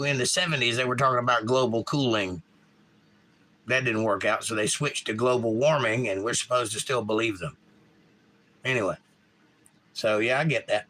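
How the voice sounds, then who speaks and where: A man's voice: mid-range voice, sharp tone, poor audio. A man with a Georgia accent hosting a casual live stream, rambling and reading chat comments aloud from a home setup.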